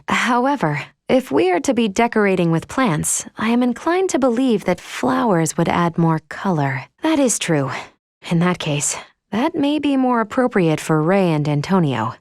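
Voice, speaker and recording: A woman's voice: fairly low pitch, expressive, no room sound, clean studio sound. Polite, precise female android. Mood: earnest, thoughtful. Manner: formal, precise, measured phrasing. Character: polite, earnest female android crew member. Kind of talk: video game voice acting.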